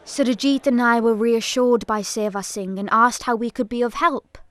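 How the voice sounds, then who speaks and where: A woman narrates an audio drama in the first person. Her voice is on the high side, and her scripted delivery is solemn and measured. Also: dry recording.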